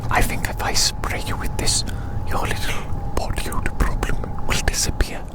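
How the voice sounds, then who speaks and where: A whispering ASMR creator, recorded outdoors in a city, gently repeating each phrase in a caring roleplay. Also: moderate pace.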